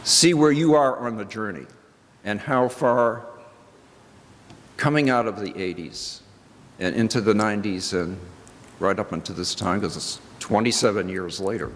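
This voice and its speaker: An older man speaking as a minister in a reflective church address, with deliberate, halting phrasing and pauses for emphasis. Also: lowish voice. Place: large indoor church sanctuary. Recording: reverberant church hall with background noise.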